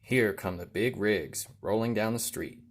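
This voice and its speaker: Deep voiced guy reading a kids storybook out loud, rhythmic, leans on the sound words. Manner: rhythmic, deliberate sound-word emphasis. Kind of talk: read-aloud children's storytelling.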